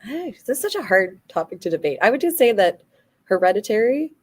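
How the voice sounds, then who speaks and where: A woman's voice: medium pitch, big pitch swings, fairly quick, darkish tone, husky. A woman speaking as a panel guest in a casual debate, in a hesitant, loosely rambling way. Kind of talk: casual debate about horror films.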